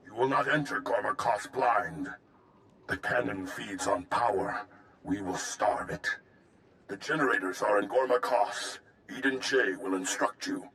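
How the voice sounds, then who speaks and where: Stern alien commander, low gravelly voice, clipped solemn short lines, video game. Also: male voice, a little slow, bright, forceful tone, heavily acted. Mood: grave, commanding. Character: stern, formal male alien commando leader.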